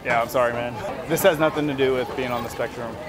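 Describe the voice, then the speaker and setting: A man's voice: medium pitch, fairly even intonation, fast talker, a crowd in the background, noisy background. A young man explaining things as a cable and internet salesman. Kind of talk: explanatory street sales talk. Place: outdoors on a city plaza. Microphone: camera a few feet away.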